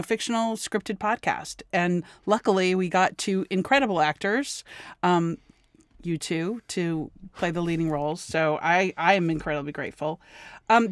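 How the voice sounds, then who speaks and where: A woman's voice: lowish voice, clear, resonant tone, dry recording. A woman hosting a casual interview, chatting informally with her guests. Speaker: host, writer.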